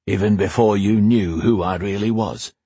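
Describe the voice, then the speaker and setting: A man voicing a gruff, loyal armoured knight with dry, deadpan wit in an audiobook fantasy story. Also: fairly low pitch, normal speed, no echo. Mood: tender, serious.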